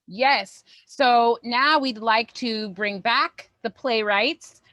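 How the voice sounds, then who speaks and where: A woman's voice: a little high, drawn-out words, pushed and bright, dry recording. A woman hosting a show, making casual announcements and introductions. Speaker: show host.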